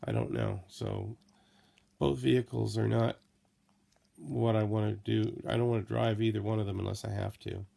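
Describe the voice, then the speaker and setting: A hoarse, tired middle-aged man rambling slowly in a late-night video diary, coughing now and then, recorded close on a laptop camera in a small room. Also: fairly low pitch, fairly even intonation, mellow. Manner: slow, tired, rambling with many fillers. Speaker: vlogger. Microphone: laptop or phone camera close to face.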